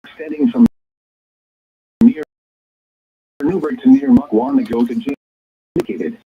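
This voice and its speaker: A man's voice: a little high, wildly swooping pitch, measured pace, creaky, slightly rough. A man's synthetic, stilted voice reading scripted weather alerts formally as a radio announcer, in choppy phrases. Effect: weather radio broadcast. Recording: tinny, narrow-band weather radio signal.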